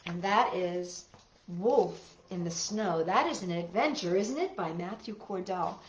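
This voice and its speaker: Slow-paced woman reading stories to children. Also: lowish voice, reverberant, lo-fi sound, a little hiss or hum. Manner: expressive, addresses young listeners with questions. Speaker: storytime host reading picture books to children. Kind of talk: casual read-aloud storytelling with commentary for children.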